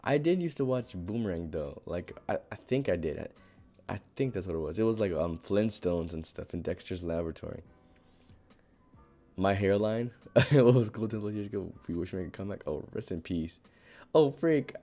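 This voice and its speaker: A hoarse, raspy-voiced young man casually reading Reddit posts on a live stream, rambling through asides with lots of fillers. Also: sits low, animated, moderate pace, mellow, faint music behind.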